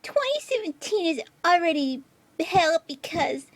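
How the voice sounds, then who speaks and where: A woman puts on a very high, whiny young female artist in an online rant video. She is aggrieved, self-pitying and vengeful, complaining melodramatically in a close, dry recording. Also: sing-song, a little slow, dry recording, heavily acted, low-quality recording.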